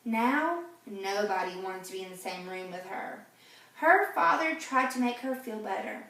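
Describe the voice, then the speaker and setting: A woman reading a picture book aloud to children in a gentle, teacherly way, pausing to ask them questions. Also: medium pitch, lively intonation, far from the mic. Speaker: read-aloud host for children. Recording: very echoey room, off-mic.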